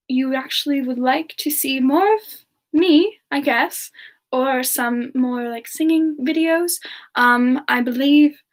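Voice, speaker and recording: Woman, very high voice, unhurried pace, soft semi-ASMR delivery, gentle, affectionate host. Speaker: ASMR channel performer hosting a live Q&A in character. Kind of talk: soft-spoken semi-ASMR casual chat with viewers.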